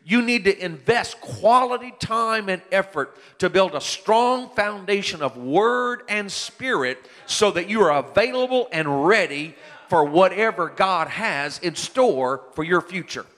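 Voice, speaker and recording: A man's voice: high-pitched, expressive, measured pace, fairly bright tone. A man in his late fifties preaching a sermon, earnest and building to emphatic peaks as he tells his own story. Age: 50s. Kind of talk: sermon with personal testimony. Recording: long hall reverb.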